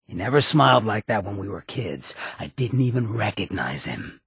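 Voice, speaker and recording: A male actor voicing a roguish, streetwise ghoul companion in a video game, blunt but loyal, with a gravelly rasp and colloquial speech. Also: on the low side, big pitch swings, normal speed, a bit bright, no room sound, studio quality, exaggerated voice. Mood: bitter, regretful. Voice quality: raspy, gravelly. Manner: streetwise, colloquial, swearing drawl. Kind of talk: video game dialogue acting.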